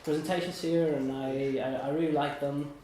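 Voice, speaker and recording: A man's voice: on the high side, fairly even intonation, moderate pace, warm tone. A man in his twenties from Germany and Italy gives an informal live presentation in a hesitant, rambling, self-deprecating way. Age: 20s. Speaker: student presenter giving a talk. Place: indoor room at a small meetup. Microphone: distant room microphone. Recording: echoey room, distant mic, background noise.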